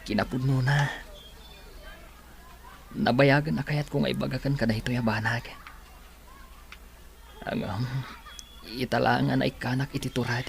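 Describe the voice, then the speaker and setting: A man's voice: mid-range voice, steady tone, measured pace, creaky, pauses often, slight background noise. A man playing a shy, earnest young suitor in a radio drama, hesitant and tender. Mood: shy confession. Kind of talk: Philippine radio drama acting.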